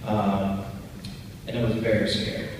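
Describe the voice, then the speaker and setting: A man answers press questions in a loose, rambling way, full of fillers. He sounds far from the mic in a big echoing hall with steady noise behind him. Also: on the low side, a little slow. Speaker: actor at a press panel. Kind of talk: casual panel answers. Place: convention press room.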